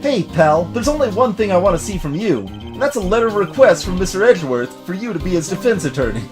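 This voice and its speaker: A man voicing a blunt, excitable, folksy middle-aged police detective in a video game. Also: high voice, quick pace, over music. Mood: pleading. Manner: folksy, blunt, keeps saying pal. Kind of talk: voiced video game dialogue.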